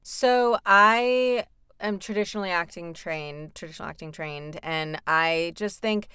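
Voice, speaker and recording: A woman voice actor chats freely about her work in a low, smooth, resonant voice. She talks loosely with fillers and small laughs, recorded dry and close. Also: a little slow, bright, forceful tone, dry recording. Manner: chatty, with laughs and frequent fillers. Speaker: voice actor guest. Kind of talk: casual interview chat.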